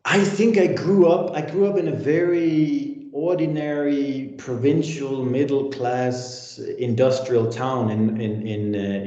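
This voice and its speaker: Reflective Danish-raised man, warm and roomy. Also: mid-range voice, muffled recording. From Denmark. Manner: reflective, many restarts and hesitations. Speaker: senior lecturer. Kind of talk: interview answers.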